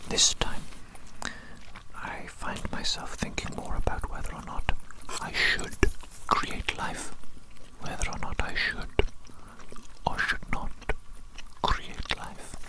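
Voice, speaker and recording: A performer whispering an ASMR roleplay as a megalomaniac evil scientist, theatrical and deliberate, often repeating phrases. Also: low-quality recording. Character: megalomaniac evil scientist doting on his creation.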